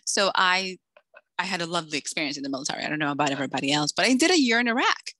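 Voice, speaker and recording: Animated, bright-voiced woman storyteller. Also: lowish voice, rapid-fire, no echo. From Dominican Republic, raised in Massachusetts. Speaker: author guest. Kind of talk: casual interview chat.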